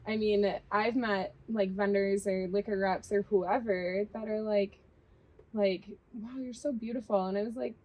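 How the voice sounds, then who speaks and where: Chatty woman, halting and thoughtful. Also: medium pitch, steady tone, muffled recording. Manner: chatty, hesitant, many fillers. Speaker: restaurant assistant general manager. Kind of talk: casual chat.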